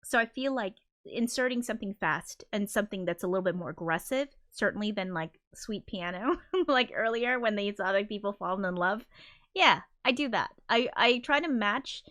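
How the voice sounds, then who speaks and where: A woman composer chatting casually on a livestream, reading viewer comments aloud; she has a high voice and rambles with frequent restarts and giggles. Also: animated, moderate pace, fairly bright tone. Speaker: composer streaming live.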